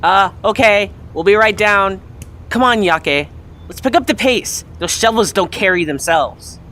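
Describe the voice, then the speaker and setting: Woman as a bright-voiced bossy cartoon creature. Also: medium pitch, measured pace, lightly acted. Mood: impatient. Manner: bossy, sarcastic. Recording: faint background noise.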